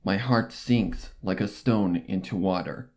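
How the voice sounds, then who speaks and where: A man's voice: sits low, unhurried, darkish tone. Man narrating dramatic fiction over music. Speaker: story narrator.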